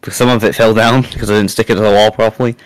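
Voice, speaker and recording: A man's voice: fairly low pitch, level tone, racing, dry recording, rough recording. A young man chatting casually as a podcast guest, in a self-deprecating way. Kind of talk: casual chat.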